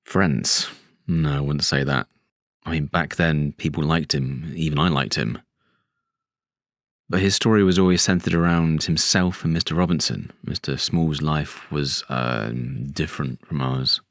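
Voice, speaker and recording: Very deep, reflective British character. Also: male voice, a little slow, a bit bright, no room sound, clean studio sound, heavily acted. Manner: thoughtful, hesitant, conversational. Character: measured, reflective male Mr. Men character being interviewed. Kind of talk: audio drama interview acting.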